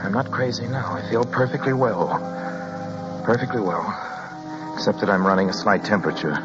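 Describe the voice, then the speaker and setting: Actor as a deep-voiced, haunted man on old radio. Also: fairly quick, natural voice. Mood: urgent, desperate. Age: 30s. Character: haunted man of thirty-six, desperately clinging to his sanity. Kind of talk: 1940s radio drama acting. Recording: dull vintage radio broadcast with music.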